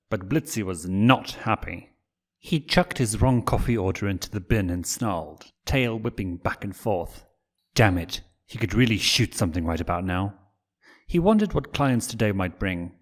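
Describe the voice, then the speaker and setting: A man reading fan fiction aloud as the narrator, in an expressive storytelling style. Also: on the low side. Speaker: fanfiction reader.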